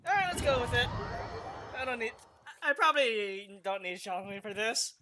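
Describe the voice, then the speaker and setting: A woman's voice: fairly high pitch, expressive, moderate pace, slight background noise. A woman giving casual live commentary as she plays through a video game. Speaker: game streamer. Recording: loud game music behind voice. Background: video game music and dialogue.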